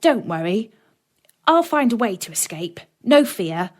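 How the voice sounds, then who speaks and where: Woman playing storybook little boy, brave, earnest, comforting, lilting varied pitch. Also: very animated, measured pace. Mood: reassuring.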